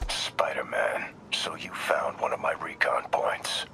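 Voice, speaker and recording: An actor voicing a cold, smug mercenary villain in a video game, taunting in a gravelly whisper. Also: male voice, rumbling low, a little slow, pushed and bright, full character voice, rough recording. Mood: menacing.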